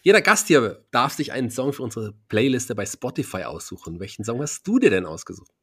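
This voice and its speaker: A man with a husky voice hosts a podcast in casual interview chat. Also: medium pitch, sing-song, brisk, fairly bright tone. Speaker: podcast host.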